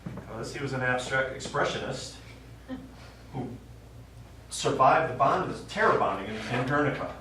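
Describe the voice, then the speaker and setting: An actor playing a stubborn old American war veteran and father, telling his memories haltingly in a stage play. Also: medium pitch, bright, forceful tone, natural voice. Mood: wistful reminiscing. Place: small theater stage, recorded from the audience. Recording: distant, very echoey theater recording, faint noise.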